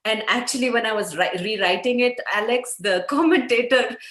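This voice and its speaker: A woman's voice: on the high side, moderate pace, fairly bright tone. A woman playwright casually explaining her own script in an online meeting. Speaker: workshop playwright. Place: indoor room, online meeting. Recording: roomy echoing video call audio.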